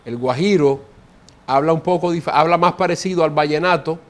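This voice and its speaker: A man's voice: fairly high pitch, moderate pace. Colombian Caribbean-accented man explaining at length. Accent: Colombian Caribbean coastal Spanish. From Colombian Caribbean coast. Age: 40s. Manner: explanatory, repeats words while searching for them. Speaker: guest. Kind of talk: conversational interview explanation.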